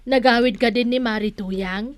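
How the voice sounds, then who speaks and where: A woman's voice: a little high, steady tone, moderate pace, natural voice. A woman playing a nosy gossiping village storekeeper in a comedy radio drama, with a conspiratorial, insinuating manner. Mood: prying.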